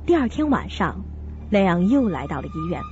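A woman's voice: lowish voice, sing-song, moderate pace, darkish tone. A woman giving scripted narration for a radio drama. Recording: dull lo-fi sound, music behind.

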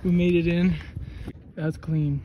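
A young man vlogging a hike into his phone outdoors, excitable and laughing often as he talks to the camera. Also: fairly high pitch, slow, lo-fi sound, slight background noise. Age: young adult. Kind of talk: casual hiking vlog. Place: outdoors on a hillside trail. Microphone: phone held at arm's length.

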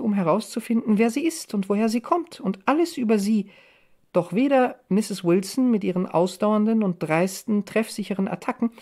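An excited young woman played by a female audiobook reader shares village gossip. She is chatty and emphatic, her pitch lifted and bursting with eagerness to tell. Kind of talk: audiobook character dialogue.

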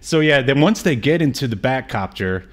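A middle-aged man with a medium-pitched voice talks casually as a podcast co-host. He has a joking, conversational manner and speaks from a room on a video call. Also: lively intonation, normal speed. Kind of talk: casual movie discussion.

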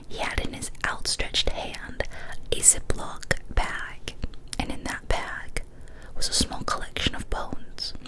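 A young woman reads a story aloud in a soft breathy ASMR whisper, hushed and deliberate, close to a studio microphone. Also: rough recording. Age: young adult. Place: small decorated room indoors. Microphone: large studio microphone up close.

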